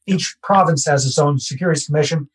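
A Canadian man, a real estate investor, talking through his process in a relaxed podcast interview and repeating his key points. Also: a little high, fast talker, breathy edge. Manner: conversational, self-deprecating, repeats key phrases.

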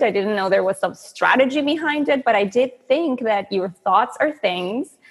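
A woman's voice: mid-range voice, slightly rough, big-room sound. A woman from Venezuela in her thirties, a coach telling her story earnestly in a podcast interview. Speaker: guest, manifestation coach.

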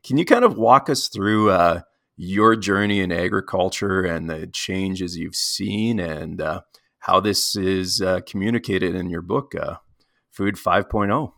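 A man hosting a podcast interview, lively in his intro, then relaxed and halting with many fillers. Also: low voice, vocal fry, no room sound, clean studio sound. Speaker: podcast host.

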